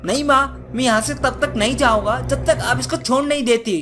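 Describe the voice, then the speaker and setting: A woman's voice: sits high, fairly quick, pitched above the performer's own voice, natural voice. A woman voicing a kind-hearted young ghost boy who pleads earnestly in a cartoon story. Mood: earnest pleading. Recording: music behind the voice.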